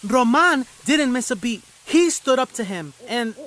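Earnest, lively high-pitched young boy. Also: a little slow. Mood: troubled, earnest. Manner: hesitant, brooding, earnest. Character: troubled, earnest seventh-grade boy, twin brother. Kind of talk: audio drama acting.

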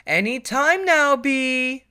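A man puts on a folksy mother pony for a storybook reading. The voice is raised and bright, firm and teasing, stern yet loving. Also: extremely high pitch, expressive, slow pace, smooth, dry recording, clean studio sound, voice pushed up, full character voice. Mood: calling out. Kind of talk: fan fiction storybook reading.

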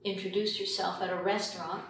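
An older woman from Quebec reflecting in an interview as a filmmaker, pausing often mid-sentence. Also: medium pitch, little pitch movement, fairly bright tone. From Quebec, Canada. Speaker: documentary filmmaker being interviewed. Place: indoor meeting room at a long table. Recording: very echoey room, distant mic, faint noise.

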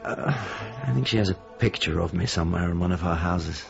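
An actor playing a pensive painter's former model grieving an old friend, speaking in measured, reflective broken phrases. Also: male voice, low-pitched, vocal fry, highs cut off, faint music behind. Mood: wistful reminiscence. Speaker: radio drama actor. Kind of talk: radio drama acting, reflective first-person narration.

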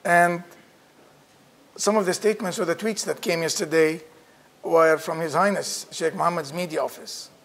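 A man's voice: on the high side, vocal fry. A middle-aged man giving a formal introduction at a podium microphone in a lecture room, speaking with some hesitant restarts.

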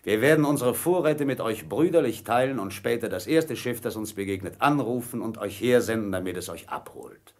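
An actor playing a cunning, commanding pirate ringleader in a radio play, theatrical, by turns wheedling and menacing. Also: male voice, fairly low pitch, lively intonation, brisk, few pauses, a little mellow. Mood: bargaining.

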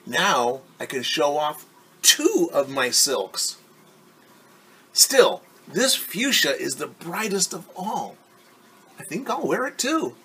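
A man voicing a vain, indecisive young girl in a storybook, with drawn-out dithering. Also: fairly high pitch, very animated, pushed and bright, a little hiss or hum, voice pushed up, heavily acted, poor audio. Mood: pleased, vain.

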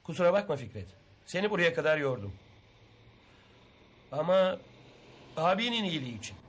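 An actor playing a patient, fatherly police commissioner in a TV drama, familiar and gently admonishing. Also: a little high, very animated, moderate pace. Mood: apologetic. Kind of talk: Turkish TV drama acting.

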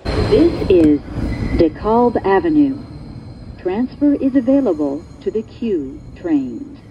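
Low, slow female transit announcer, muffled. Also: sing-song. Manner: measured, carefully enunciated, pieced together from clips. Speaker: automated subway announcer. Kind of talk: pre-recorded transit announcements. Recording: muffled train speaker, vehicle noise. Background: subway car rumble.

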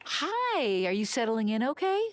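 A woman's voice: on the high side, big pitch swings, measured pace, slight background noise. An actress playing a friendly, reassuring young woman shopkeeper in a video game. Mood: welcoming. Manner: reassuring, sociable. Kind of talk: video game character dialogue.

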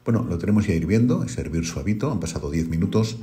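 A middle-aged man, hosting a home cooking video and calmly talking viewers through each step. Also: low-pitched, quick pace, faint music behind. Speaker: cooking channel host. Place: home kitchen.

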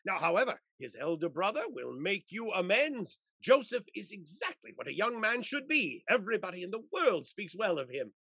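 A man acting an effusive, stubborn old married gentleman with a raspy voice in a period stage comedy. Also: high voice, very animated, moderate pace, few pauses, no room sound, heavily acted. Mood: insistent. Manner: effusive, exclamatory. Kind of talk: theatrical period comedy acting over video call. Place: indoors, on a video call.

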